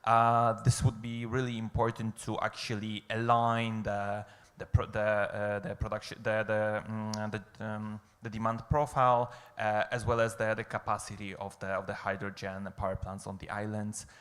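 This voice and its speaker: A man's voice: on the low side, monotone, moderate pace, fairly bright tone. A man from Poland reporting back to a conference as a group rapporteur, speaking off the cuff with many hesitations and restarts. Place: conference hall on an island.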